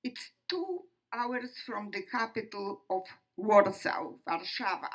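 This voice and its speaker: An elderly woman from Poland with a Polish accent, giving personal testimony in a solemn, deliberate, halting way with pauses. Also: mid-range voice, big pitch swings. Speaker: Holocaust survivor speaker. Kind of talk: personal testimony to young audience.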